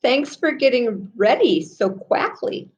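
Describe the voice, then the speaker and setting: A cheerful, dreamy mother of three from a children's storybook, played by a woman reader. She speaks slowly, with lilting delight in what she says. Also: fairly high pitch, animated, muffled recording, voice pushed up.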